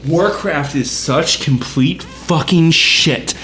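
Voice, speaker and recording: A man's voice: on the high side, slow pace, fairly bright tone. A man on a podcast giving a profane, exasperated, emphatic movie rant among friends, repeating phrases for effect. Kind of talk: casual ranting movie review among friends. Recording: roomy echo, faint background noise.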